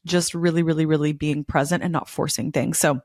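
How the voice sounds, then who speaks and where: A young woman hosting a spiritual podcast, a little hoarse from losing her voice, talking in an effusive, repetitive way. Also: sits low, fairly even intonation, fairly quick, darkish tone, no echo, clean studio sound. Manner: effusive, repeats words for emphasis. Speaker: podcast host. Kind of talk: casual spiritual podcast monologue.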